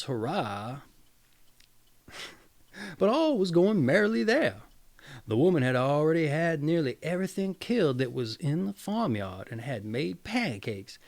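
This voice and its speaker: Male fairy-tale narrator. Higher-set voice, swinging intonation, creaky edge. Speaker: storyteller. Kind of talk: fairy tale read aloud, animated.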